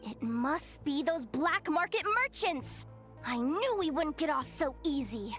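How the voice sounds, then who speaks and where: A woman's voice: sits high, expressive, unhurried, a bit bright, soft background music. A woman voicing an anxious, fretful young female character in a video game. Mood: worried. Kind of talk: animated video game acting.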